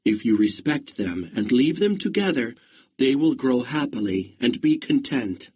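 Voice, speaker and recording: A man's voice: medium pitch, very animated, unhurried, darkish tone, breathy edge. Brief: humble young farmer, male narrator voicing, earnest, near the narrator's own voice. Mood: gentle.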